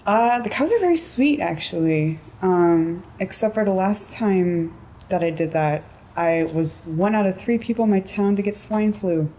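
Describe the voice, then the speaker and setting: A woman in her twenties from California, chatting casually in an interview, relaxed and giggly with lots of filler words and a low voice. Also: moderate pace, slight background noise. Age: 20s.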